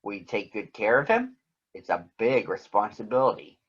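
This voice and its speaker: A man's voice: fairly low pitch, sing-song, normal speed, slightly rough, muffled recording. Man reading as a kindly storybook grandmother bird. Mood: warm, kindly.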